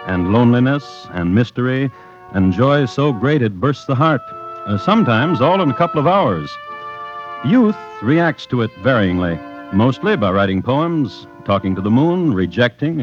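A man narrating true crime as a radio host in a deliberate, dry, wry storytelling style from mid-century radio. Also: mid-range voice. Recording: muffled vintage radio, music behind.

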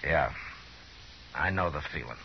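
An actor playing a steady, laconic frontier lawman with measured, understated delivery in 1950s radio Western style. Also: a bass voice, gravelly, full character voice. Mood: firm, sympathetic. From American. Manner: measured, understated, terse questioning. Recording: muffled, hissy 1950s radio recording.

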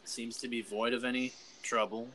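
A man's voice: medium pitch, flat delivery, moderate pace, bright, forceful tone, a little hiss or hum, poor audio. A man narrating a tabletop game as game master, playful and laughing often.

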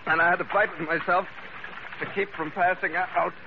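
Hardboiled american skipper, old radio drama, clipped and tough, noisy dull recording. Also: male voice, high-pitched, steady tone, moderate pace. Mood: intense. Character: tough, hard-boiled American sea captain hero. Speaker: lead actor narrating the adventure. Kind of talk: 1940s-50s radio adventure acting.

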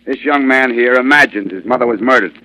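Male actor as stern police chief, vintage radio: sharp, forceful, dismissive, medium pitch. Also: fairly quick, natural voice, rough recording. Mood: brusque. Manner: brusque, official. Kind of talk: 1940s radio drama acting.

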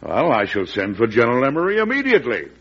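An actor playing an embattled but calm, dignified president in a classic radio drama. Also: male voice, mid-range voice, fast, loud music behind the voice. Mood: indignant. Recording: dull old radio broadcast.

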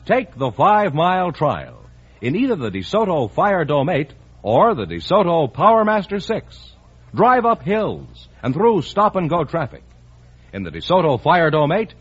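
A man's voice: on the high side, breathy edge. A man announcing a scripted radio commercial in an upbeat, persuasive way. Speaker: sponsor announcer. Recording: muffled 1950s radio broadcast.

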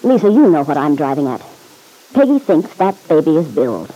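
Stern, insistent man, husky and mellow. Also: on the high side, very animated, moderate pace, lo-fi sound. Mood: urging. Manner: blunt, slangy, accusing. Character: stern, insistent man pressing a woman for the truth. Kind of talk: old-time radio serial acting.

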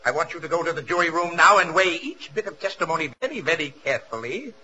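Sharp, forceful, pompous radio judge. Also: male voice, a little high, lively intonation, fast talker. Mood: stern, commanding. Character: stern, biased courtroom judge, a man. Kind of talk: 1940s radio comedy acting. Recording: noisy, muffled 1940s radio broadcast.

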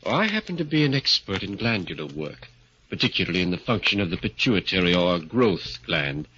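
A man voicing an earnest scientist who explains his experiments briskly in an old radio drama. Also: deep voice, sing-song, pushed and bright, slight background noise, exaggerated voice. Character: earnest middle-aged male scientist doctor. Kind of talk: 1940s radio drama acting. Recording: dull old radio recording.

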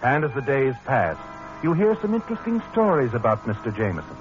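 An actor playing a knowing, sardonic narrator of a 1940s radio mystery, in a polished, insinuating, dramatic manner. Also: male voice, mid-range voice, big pitch swings, fairly quick, lightly acted. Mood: sly, knowing. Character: knowing, sardonic male narrator addressing the schemer he watches. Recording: muffled old radio, music behind.

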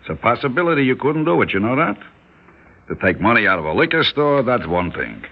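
An actor playing an unflappable older shopkeeper in a classic radio drama, needling philosophically with Yiddish-inflected phrasing. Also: male voice, mid-range voice, sing-song, brisk, creaky. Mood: calm, needling. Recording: muffled old radio broadcast.

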